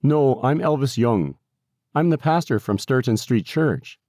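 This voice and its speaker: A man's voice: medium pitch, animated, unhurried, dark tone, no room sound, studio quality, voice pushed up, natural voice. Man reading an awkward, polite male pastor. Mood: flustered. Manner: hesitant, courteous.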